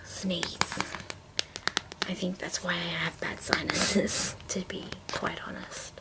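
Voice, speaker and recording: A woman whispering in a breathy, hushed, unhurried way with halting pauses, presenting an ASMR show-and-tell. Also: fairly low pitch, level tone, rough recording. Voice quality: breathy whisper with creaky edges. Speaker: ASMR video host.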